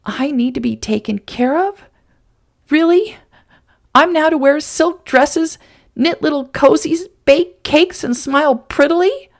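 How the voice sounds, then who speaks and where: A woman voicing a stubborn, defiant young woman who speaks high and indignant. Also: measured pace, creaky. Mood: sarcastic.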